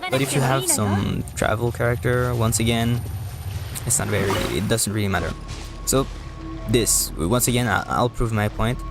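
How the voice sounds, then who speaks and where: A man giving casual, halting and rambling live commentary as a video game streamer, often repeating words. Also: medium pitch, over music.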